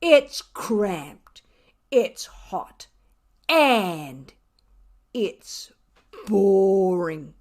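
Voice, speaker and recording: A woman voicing a grumpy, sardonic pet cat in an indignant, self-justifying storybook narration with drawn-out emphasis. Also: mid-range voice, sing-song. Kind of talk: expressive children's storybook reading.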